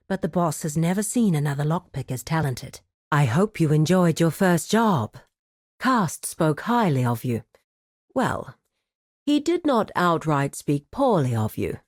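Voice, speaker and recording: A woman's voice: fairly low pitch, a little mellow, dry recording, clean studio sound. A woman voicing a composed, formal administrator of a criminal guild in a video game, speaking precisely and with cool courtesy. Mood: formal, measured. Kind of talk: scripted video game character dialogue.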